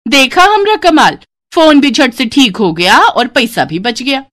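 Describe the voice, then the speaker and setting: Woman acting young village daughter-in-law, rustic Banaras accent, high pitch, brash, folksy, cheerful, moral-story character. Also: lively intonation, a little slow, a bit bright. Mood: cocky. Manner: exclamatory, folksy, cheeky.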